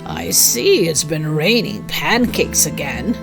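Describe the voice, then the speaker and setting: A lowered, unhurried voice for a crafty peasant husband in a storybook read by a woman. He is calm and matter-of-fact, gently scornful, over background music. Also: gravelly, full character voice. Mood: casual.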